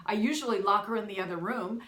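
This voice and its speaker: A woman's voice: mid-range voice, fast talker, a bit bright. A woman hosting a talk show, interviewing a guest in a chatty way with small laughs. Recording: roomy echo.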